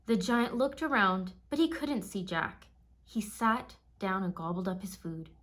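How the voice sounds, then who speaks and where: Female storybook narrator, mid-pitched, unhurried, expressive scripted read-aloud for young children.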